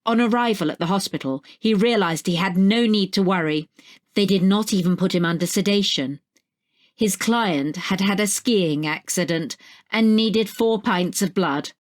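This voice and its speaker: A woman narrating a scripted short story. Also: on the low side.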